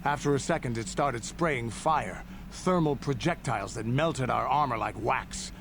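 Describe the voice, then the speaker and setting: An actor playing a proud, gruff veteran alien warrior in a video game, telling war stories in a gravelly, deliberate, boastful manner. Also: male voice, medium pitch, lightly acted. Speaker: game character. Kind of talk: dramatic scripted video game dialogue. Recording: game audio under steady noise.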